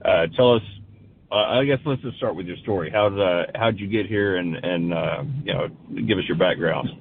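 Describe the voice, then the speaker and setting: A man co-hosting an interview, who introduces his guest and asks questions in a halting, hesitant way. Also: low voice, breathy edge. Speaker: co-host. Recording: tinny phone-like line.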